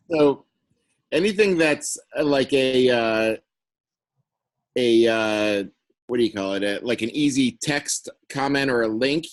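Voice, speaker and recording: A man's voice: medium pitch, no echo. A man taking part in a casual work call, hesitant and searching for his words as he talks. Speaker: meeting participant. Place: online conference call.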